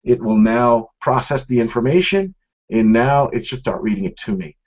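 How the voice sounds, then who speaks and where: A man's voice: mid-range voice, expressive, normal speed, a little mellow, a little hiss or hum. A middle-aged man giving an informal product demonstration, explaining conversationally and speaking straight to viewers. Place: small office room, indoors. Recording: dull, lo-fi webcam stream sound.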